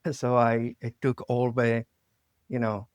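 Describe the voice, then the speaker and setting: A man from Italy with an Italian accent, hosting an interview in a halting way, searching for words with many fillers and restarts. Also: mid-range voice, completely flat delivery, clean tone, no echo. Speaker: podcast host.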